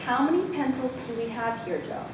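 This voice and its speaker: A woman's voice: brisk, natural voice. A woman playing a strict, exasperated schoolteacher in an amateur readers' theatre piece, firm and corrective. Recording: distant, very echoey room, noisy with rumble.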